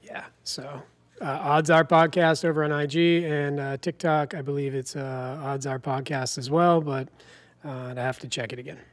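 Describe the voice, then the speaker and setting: A man's voice: a little high, fairly even intonation, moderate pace, no room sound. Rambling, boastful man on a dry remote mic. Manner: loose, rambling, boastful about wins. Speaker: podcast guest, sports bettor. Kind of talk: casual podcast chat.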